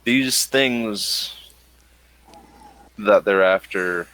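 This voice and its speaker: Male game master, very deep and slow, improvised tabletop exposition with halting phrasing. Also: a bit bright.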